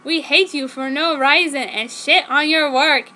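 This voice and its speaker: A woman's voice: high-pitched, fairly even intonation, a little slow, sharp tone. Woman putting on a wailing, hateful old hag demon. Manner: roaring, hissing, overwrought. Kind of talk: mock-dramatic fanfiction reading.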